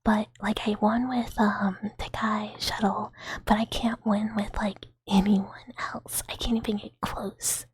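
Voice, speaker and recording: A woman's voice: mid-range voice, level tone, unhurried, breathy edge, no echo. A woman in her thirties, whispering and giggling through a casual livestream chat as she answers comments from viewers. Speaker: streamer.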